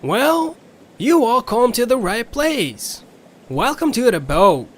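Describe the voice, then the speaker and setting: A man's voice: very high voice, big pitch swings, slow pace, heavily acted. A man voicing a polite, folksy creature who captains a ferryboat, with a Southern US accent and genteel manner, in an audio story. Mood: welcoming, poised. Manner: courteous, apologetic, genteel.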